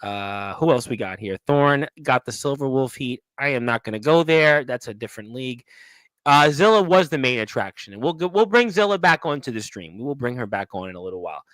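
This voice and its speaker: A man's voice: medium pitch, expressive, a little fast, fairly bright tone, no room sound, rough recording. A man hosting a live wrestling talk show, chatting casually as he reads out and reacts to viewer comments. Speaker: host of a wrestling radio show.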